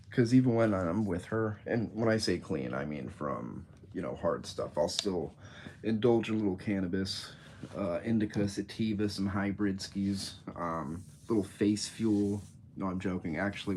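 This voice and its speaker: A man's voice: low-pitched, a little hiss or hum. A young man with a congested, nasal voice, chatting casually and rambling with jokes on a livestream from his room. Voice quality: nasal, stuffy. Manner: rambling, joking, easily sidetracked. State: congested from a cold.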